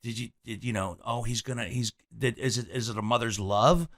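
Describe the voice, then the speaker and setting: A man's voice: mid-range voice, a little fast, a bit bright. A middle-aged man hosting an interview, asking probing, reflective questions with some stammering restarts. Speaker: host.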